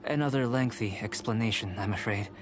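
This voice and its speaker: An actor playing a calm, cerebral young alchemist in a video game, with a measured, precise, politely detached delivery. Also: male voice, on the low side, lightly acted. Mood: thoughtful, detached. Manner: measured, scholarly, precise, softly curious. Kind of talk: video game character acting. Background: game music.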